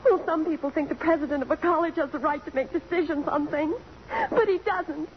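A woman's voice: high-pitched, a little fast, natural voice. An actress playing an emotional, idealistic young college girl, heartfelt and breathless, in 1950s radio drama style. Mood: anxious. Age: young adult. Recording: dull, faintly noisy old radio recording.

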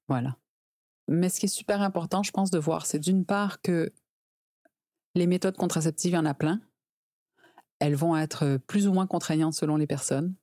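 Woman in her 40s, low mellow voice, emphatic chatty explainer being interviewed. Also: measured pace, long pauses, dry recording, studio quality. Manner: emphatic, illustrates points with mimicked quotes. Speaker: interview guest, reproductive health specialist.

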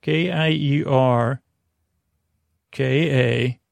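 A man with a mid-pitched, creaky voice hosts a podcast meant for falling asleep. He meanders and stalls, breaking off his own sentences and picking them up again. The sound is very dry, with no room around the voice. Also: steady tone, no echo. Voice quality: gravelly, creaky. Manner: meandering, halting, absent-minded, self-interrupting. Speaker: podcast host. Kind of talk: rambling bedtime podcast monologue.

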